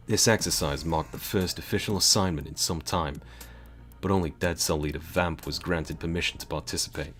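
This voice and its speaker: Brit reading a game novel with chatty swear-y asides, super low voice, faint music behind. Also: male voice, a bit bright. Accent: British. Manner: chatty, swearing, laughs at own jokes. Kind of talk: reading aloud with casual commentary.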